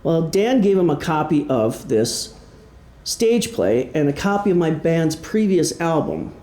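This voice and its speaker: A man giving an informal talk about his own creative work, speaking in a halting, self-deprecating, conversational way before an audience. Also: on the high side, very animated, warm tone. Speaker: author and musician giving a presentation. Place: indoor room before an audience. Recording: roomy live presentation sound.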